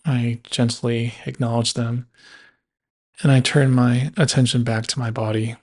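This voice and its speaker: Slow, even-toned male meditation guide. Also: mid-range voice. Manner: slow, measured delivery with long pauses. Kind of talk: guided body scan meditation.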